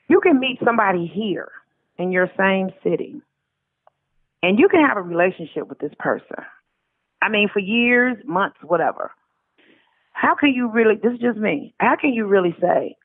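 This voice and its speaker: Phone-like narrow sound, woman, American accent, middle-aged, mid pitch, casual, emphatic, colloquial guest. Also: vocal fry, no room sound. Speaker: interview guest, author. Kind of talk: casual conversational interview. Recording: tinny narrow phone-like line.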